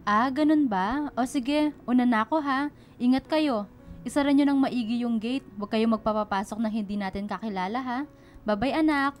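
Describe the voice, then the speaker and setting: Actress as a high-voiced humble Filipino mother. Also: moderate pace, natural voice. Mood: caring. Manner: polite, deferential, gentle. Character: humble, hardworking single mother working as laundrywoman. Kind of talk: Filipino radio drama acting.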